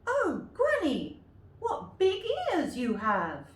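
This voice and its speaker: A woman's voice: sits high, huge pitch swings, takes it slowly, pitched above the performer's own voice, heavily acted. A woman voicing a sweet, bold little girl in a storybook, with a sing-song, exclaiming manner. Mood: amazed. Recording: roomy, distant mic with faint noise.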